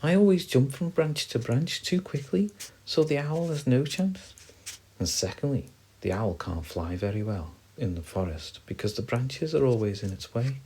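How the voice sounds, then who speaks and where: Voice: mid-range voice, animated, normal speed, dark tone, slightly rough, pitched above the performer's own voice. A man voicing a friendly, confident forest squirrel in a children's story, chirpy and assured in manner.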